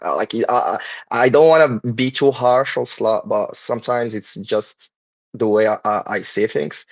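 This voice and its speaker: A man's voice: medium pitch, normal speed, no echo. Moroccan man hosting a podcast, hesitant. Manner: hesitant, many fillers and restarts. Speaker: podcast host. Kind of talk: casual podcast discussion. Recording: dull lo-fi dry sound.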